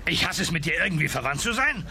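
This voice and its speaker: A man's voice: a little high, very animated, fast talker, noisy background. A man playing a hot-tempered, exasperated dinosaur family man, blustering and sarcastic in a dubbed sitcom audio drama. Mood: furious, sarcastic.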